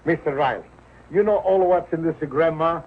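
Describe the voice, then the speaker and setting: An actor playing an excitable, good-natured Italian immigrant pupil who speaks broken English with a thick Italian accent in a vintage sitcom. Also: male voice, on the high side, moderate pace. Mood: excitable, eager. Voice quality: broken English with stretched words. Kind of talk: 1940s television sitcom acting. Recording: tinny, noisy old 1940s broadcast.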